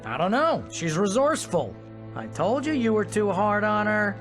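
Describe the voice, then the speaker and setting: A man playing an excitable, chatty loyal friend in a video game. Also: high-pitched, normal speed, faint music behind. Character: chatty, excitable male literary agent, loyal sidekick.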